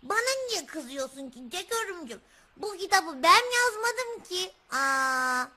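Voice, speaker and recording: An actress voicing a sweet, patient little puppet girl with a bright childlike voice, affectionate and coaxing, for a children's TV show. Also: very high voice, very animated, a little slow, heavily acted. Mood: mildly exasperated. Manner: coaxing, full of endearments.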